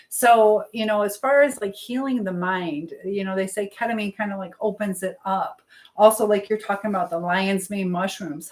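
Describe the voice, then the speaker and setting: A middle-aged woman hosting a casual live stream, rambling between viewer comments and the baking she is doing as she talks. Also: mid-range voice. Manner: rambling, hopping between chat and task; chatting while baking.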